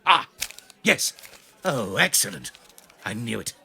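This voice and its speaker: An actor playing a vain, scheming, rakish captain in a period audio drama, with a pushy, mocking, theatrical manner. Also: male voice, mid-range voice, sing-song, slow pace, creaky, bright, forceful tone, slight background noise, heavily acted.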